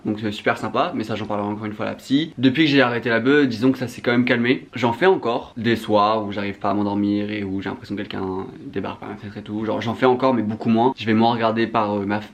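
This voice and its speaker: A man's voice: lowish voice, steady tone, quick pace, few pauses. A young man with a French accent from France, chatting candidly to viewers in a slangy, self-mocking, confessional vlog style. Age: young adult. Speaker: vlogger.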